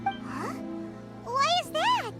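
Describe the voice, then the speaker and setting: Super high squeaky little game fairy girl, bubbly n bouncy, loud tinkly music behind. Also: wildly swooping pitch, drawn-out words, full character voice. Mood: puzzled. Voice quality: squeaky, childlike. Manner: refers to self in third person, bubbly. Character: tiny excitable childlike floating fairy companion, female. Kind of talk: video game voice acting.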